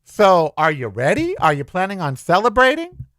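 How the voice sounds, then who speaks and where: A man's voice: high-pitched, normal speed, no room sound. Guy rambling on his podcast, voice jumps all over, dry close sound. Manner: chatty, anxious, animated storytelling. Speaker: podcast host. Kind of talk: casual solo podcast monologue.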